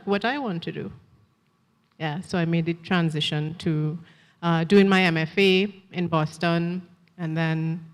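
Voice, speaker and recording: A woman's voice: fairly low pitch, long reverb tail. A woman author from Trinidad, speaking reflectively on a festival panel. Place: festival event hall.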